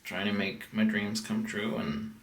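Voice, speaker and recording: American man, casual vlog storyteller, roomy distant recording, halting and rambling delivery, faint background noise. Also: fairly low pitch, flat delivery, vocal fry, fairly bright tone. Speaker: house music producer and DJ hosting a vlog. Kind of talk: casual chat, personal storytelling.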